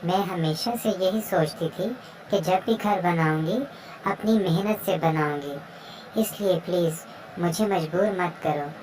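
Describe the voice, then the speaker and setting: A woman's voice: fairly low pitch, a little slow, darkish tone, close to an everyday voice, rough recording. A woman voicing a proud, grateful, hardworking mother bird in a children's cartoon story. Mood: grateful, firm.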